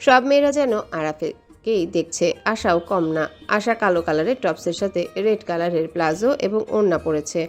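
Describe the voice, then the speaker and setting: A woman's voice: fairly low pitch, expressive, normal speed, a little mellow, faint music behind. A woman reading scripted romantic story narration as the narrator.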